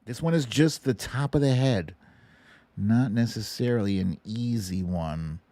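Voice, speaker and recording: A man's voice: fairly low pitch, a little slow, dark tone, no room sound. A man hosting a casual livestream quiz, chatty and playful as he banters and calls out to viewers. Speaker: host of a live online show.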